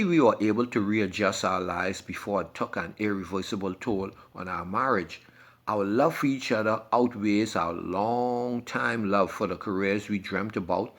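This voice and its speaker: A man with a fairly low voice reads a first-person essay aloud. His delivery is scripted and measured, with emphasis placed on short phrases. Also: a bit bright.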